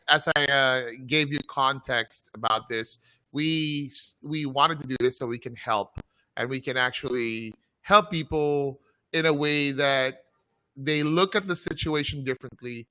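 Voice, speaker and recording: A man's voice: mid-range voice, normal speed, bright, forceful tone, poor audio. A man hosting a live online show, introducing a guest with enthusiasm and plenty of fillers. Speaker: host.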